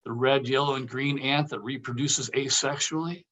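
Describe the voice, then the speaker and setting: A man's voice: medium pitch, steady tone, moderate pace, a bit bright, natural voice. A man playing a brash, teasing buddy in a comedy table read. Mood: intrigued. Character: brash, teasing male buddy, inappropriate but quick to cover.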